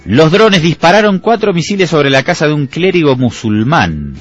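A man in his thirties with an Argentine accent talks on a radio show, opinionated and quick to joke. His pitch rises and falls a lot as he speaks. The broadcast sounds dull and lo-fi, with faint music underneath. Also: breathy edge. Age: 30s. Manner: animated, joking, opinionated. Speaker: radio co-host. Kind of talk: casual radio talk.

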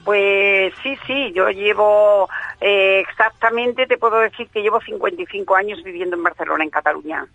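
A woman's voice: medium pitch, normal speed, vocal fry, fairly bright tone, no echo. An older woman, a guest speaking over the phone on a radio show, emphatic and repeating her words. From Andalusia, Spain. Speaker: radio guest, association president. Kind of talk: phone interview. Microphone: telephone. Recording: dull, dry phone line.

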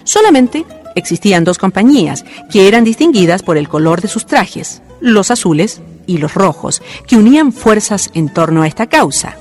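A narrator telling a historical radio drama in measured, scripted storytelling. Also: medium pitch, sing-song, a little mellow. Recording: community radio drama with faint music.

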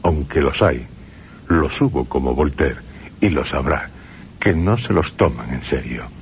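Very deep, slow Spanish radio narrator. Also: male voice, animated, a little hiss or hum. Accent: Spain Spanish. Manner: grave, measured, ominous. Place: radio studio.

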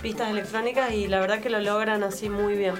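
A woman's voice: medium pitch, fairly even intonation, fast, soft background music. A woman from Tucumán, Argentina, with an Argentine accent, a guest musician talking in an easygoing way with fillers. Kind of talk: casual interview chat.

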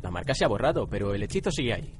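Commanding, mysterious wizard voiced by a man, quick and animated, radio drama with noisy ambience. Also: fairly low pitch. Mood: commanding, ominous.

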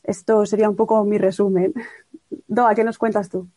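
Woman in her thirties, measured, filler words, dry close sound. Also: mid-range voice, little pitch movement, dark tone, dry recording. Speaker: computational linguist guest. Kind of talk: conversational podcast interview.

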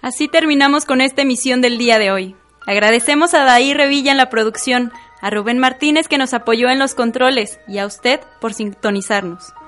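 A woman's voice: a little high, fairly quick, fairly bright tone, soft background music. A woman with a Mexican accent hosting a radio program, speaking in a scripted announcer style.

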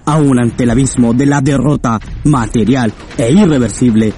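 An actor playing a loyal, deferential general in a radio drama, speaking formally and earnestly. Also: male voice, medium pitch, moderate pace, warm tone, a little hiss or hum, natural voice. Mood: impassioned, pleading. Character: General Monke, loyal devoted military officer addressing his leader.

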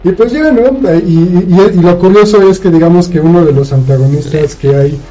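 A man's voice: on the high side, moderate pace, warm tone, faint music behind, poor audio. A man with a Mexican accent chatting casually as a podcast co-host, recounting a story in a rambling, colloquial way with frequent tag questions. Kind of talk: casual chat, recounting a film plot.

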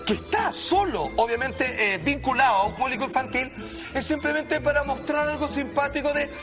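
A man with a Chilean accent presenting and commenting excitedly as a TV show host, emphatic, dramatic and repetitive. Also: extremely high pitch, moderate pace. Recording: loud music bed under voice.

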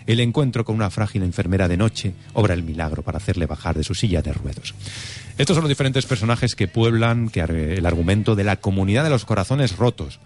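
Brief: low-voiced male film-show host, rapid and jokey. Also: animated, a little mellow. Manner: fast, joking, argues then reads synopses. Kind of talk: film review radio chat.

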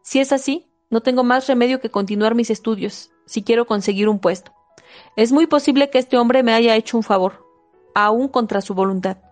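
Woman reading a self-help book, high voice. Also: faint music behind. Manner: steady, measured reading with small slips and restarts. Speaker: audiobook reader.

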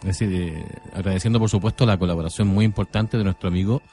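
An older man giving a review on a radio show in halting, formal, deliberate phrasing. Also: deep voice, fairly even intonation, dark tone, soft background music.

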